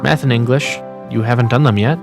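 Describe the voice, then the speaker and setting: A man voicing a studious, modest teenage boy in a manga dub, calm, earnest and self-deprecating. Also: medium pitch, fairly quick, vocal fry, over music, lightly acted. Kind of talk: fan manga dub with narration.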